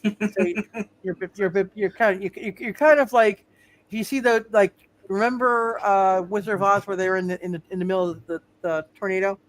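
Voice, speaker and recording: Game master, medium pitch, hesitant and repetitive delivery, refereeing live roleplay. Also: fairly even intonation, poor audio. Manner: halting, repeats phrases.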